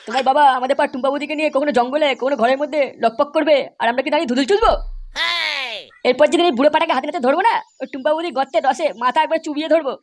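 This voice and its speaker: Someone doing a high, fast-talking cheeky cartoon lout. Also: slight background noise, lightly acted. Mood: threatening. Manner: taunting, crude. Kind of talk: exaggerated comedy cartoon voice-over.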